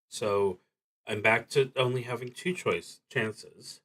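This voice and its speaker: A man streaming a solo tabletop roleplaying game, thinking aloud in improvised commentary with a hesitant, halting delivery that trails off mid-thought. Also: mid-range voice, noisy background.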